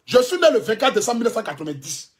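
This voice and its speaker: A man's voice: extremely high pitch, very animated, quick pace. A man in his thirties with an Ivorian French accent, giving a fervent, repetitive, defiant livestream address as a social media blogger. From Ivory Coast. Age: 30s. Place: indoors, clothing rack behind.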